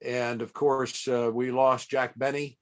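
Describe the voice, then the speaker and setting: A man hosting a radio event over a video call, telling stories in a chatty, folksy and halting way. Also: mid-range voice, level tone. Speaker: interviewer and radio event host. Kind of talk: casual reminiscing on a video call.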